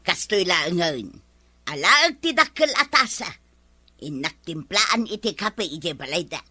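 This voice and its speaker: Woman acting a bright-voiced, pushy village borrower. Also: fairly low pitch, very animated, a little slow, husky, dry recording, heavily acted. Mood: scheming. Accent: Ilocano. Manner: complaining, pushy. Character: wheedling older village woman who borrows and grumbles. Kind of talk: Ilocano comedy drama acting.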